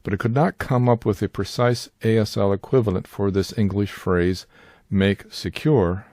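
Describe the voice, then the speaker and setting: Measured older man, low voice. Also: a little mellow, dry recording, studio quality. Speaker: Bible teacher and sign language interpreter. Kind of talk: scripted instructional narration.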